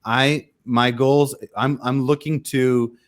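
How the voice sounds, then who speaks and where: Podcast co-host, man, mid-pitched voice, rambling questions and friendly recaps. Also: little pitch movement, slow. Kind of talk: casual podcast interview.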